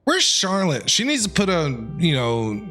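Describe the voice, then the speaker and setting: Lively, excitable male game streamer. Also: high-pitched, a little slow, faint music behind. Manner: excitable, repeats phrases. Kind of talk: casual live game reaction commentary.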